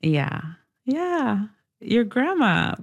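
A woman interviewing someone in an informal, gently probing conversational way. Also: a little high, very animated, drawn-out words, lo-fi sound.

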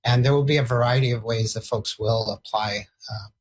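Male education leader whose first language is Portuguese, husky, mid pitch, hesitant. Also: steady tone, fairly quick, low-quality recording. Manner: hesitant, restarting sentences. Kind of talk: interview answers.

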